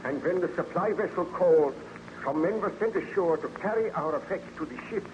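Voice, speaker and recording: Highish, melodramatic haunted baron played by a man. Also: lively intonation, a little fast, highs cut off, lightly acted. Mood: weary, troubled. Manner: earnest, melodramatic. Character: haunted, fearful baron obsessed with destroying his creation. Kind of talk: old-time radio drama acting. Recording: noisy old radio recording.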